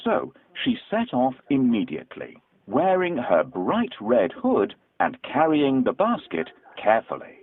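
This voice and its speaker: A man narrating a children's story from a script in a dramatic, expressive storytelling manner. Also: medium pitch, measured pace, hardly any pauses, narrow, tinny audio, background noise.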